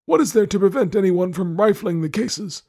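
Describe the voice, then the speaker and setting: Man doing a snarling, high-pitched old miser lord. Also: expressive, normal speed, a little mellow. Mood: suspicious, scolding. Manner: snarling, grumbling, mocking, nagging. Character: miserly, suspicious, sneering old lord, domineering. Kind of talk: audiobook character voice.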